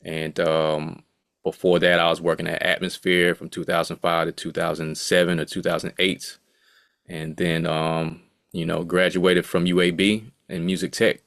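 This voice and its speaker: A man's voice: sits low, level tone, moderate pace, vocal fry, a bit bright, no room sound, clean studio sound. A man in his late thirties, a music producer speaking as an interview guest in a laid-back, rambling way full of asides. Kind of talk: casual interview talk.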